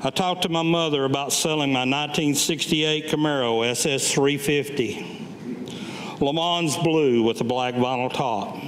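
An older man, around seventy, reading his own written memoir aloud at a staged reading in a measured, deliberate way. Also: on the high side. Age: older, about 70. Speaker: Vietnam veteran reading his own writing. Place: public meeting room with audience, indoors. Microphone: gooseneck lectern microphone. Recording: echoey hall, background room noise.

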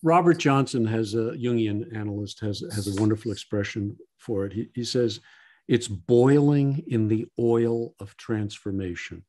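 A man's voice: lowish voice, moderate pace, dark tone. A man co-hosting a podcast in a casual, folksy way, repeating short phrases for emphasis. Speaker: podcast co-host. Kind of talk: casual, conversational discussion.

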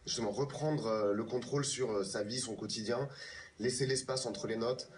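A man of thirty-five from Paris explaining himself haltingly as a guest in a TV interview. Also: mid-range voice, fairly even intonation, a little hiss or hum. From France, born in Paris. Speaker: guest, pianist and composer. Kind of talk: live TV interview. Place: TV news studio, replayed from a television. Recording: distant, off a TV screen, faint music.

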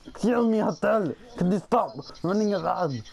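A creaky-voiced player bantering casually during an online game, exclaiming and repeating things in a mock-pompous way. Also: medium pitch, animated, moderate pace, darkish tone.

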